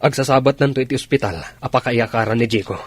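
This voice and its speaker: Man acting an army commander, radio drama, Ilocano accent, slightly rough voice, authoritative, terse, concerned for his men. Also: mid-range voice, quick pace, lightly acted. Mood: grave, concerned.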